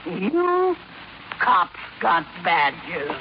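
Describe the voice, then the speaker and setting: An actress playing a crude, slow-witted, gin-soaked old landlady who grumbles in slang, in 1950s radio drama style. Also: fairly low pitch, wildly swooping pitch, heavily acted. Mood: gruff, suspicious. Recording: muffled, noisy 1950s radio recording.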